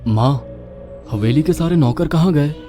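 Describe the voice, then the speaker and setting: A man's voice: a little high, a little slow, over music, voice pushed up, lightly acted. A man playing an anxious young husband and son in a dramatised audio story. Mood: hesitant, concerned.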